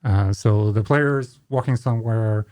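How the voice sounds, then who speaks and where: A man's voice: fairly low pitch, normal speed, warm tone, no room sound. A man in his thirties hosting a conversation, informally feeling his way through his questions. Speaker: podcast host, interviewer. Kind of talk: casual interview chat. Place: small indoor podcast studio. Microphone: studio mic on boom arm, headphones.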